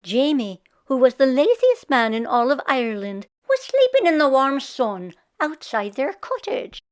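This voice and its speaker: A woman reading a children's picture book aloud as an expressive storyteller. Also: high voice, unhurried. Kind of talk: expressive scripted read-aloud narration for children.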